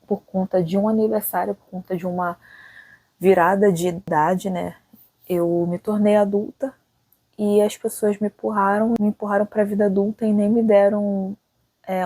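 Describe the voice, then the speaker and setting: A woman in her late twenties from Brazil talks to her viewers in a relaxed, reflective vlog. Her voice is low and mellow, and it sounds dry and close. She speaks loosely and often tucks little check-in questions onto the ends of her sentences. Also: no echo, poor audio. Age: late 20s. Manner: chatty, thinking aloud, frequent "né" tags. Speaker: channel host. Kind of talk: casual reflective vlog talk.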